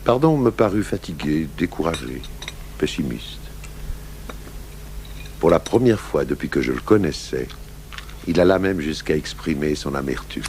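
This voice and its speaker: An actor playing a seasoned police commissioner who narrates an old case in a measured, reflective way for a radio drama. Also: male voice, expressive, darkish tone. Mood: reflective, sombre. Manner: measured, reflective, literary phrasing. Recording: hissy 1980s radio recording, low hum.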